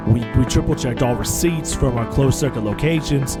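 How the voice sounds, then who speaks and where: A man plays an anxious, deferential money man in an audio drama. He speaks in a formal, hesitant, apologetic way at an ordinary male pitch. Loud plucked guitar music plays behind him. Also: brisk, close to an everyday voice. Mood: nervous, grave. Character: anxious, deferential male finance man reporting bad news.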